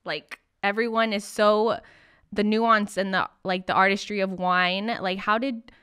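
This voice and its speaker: Female host for a relaxed chat interview, crisp clear voice in a middle register. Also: medium pitch, a little slow. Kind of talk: casual chatty interview.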